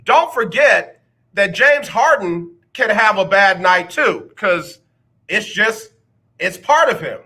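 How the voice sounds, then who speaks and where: A man's voice: high-pitched, animated, slow, pushed and bright. A man hosting a casual sports talk radio show, teasing listeners with rhetorical questions. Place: radio studio.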